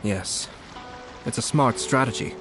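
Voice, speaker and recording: An actor playing a calm, shrewd young nobleman in a video game, speaking in a formal, measured, diplomatic way. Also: mid-range voice, natural voice. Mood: shrewd, serious. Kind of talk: scripted video game dialogue. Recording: game music behind the voice.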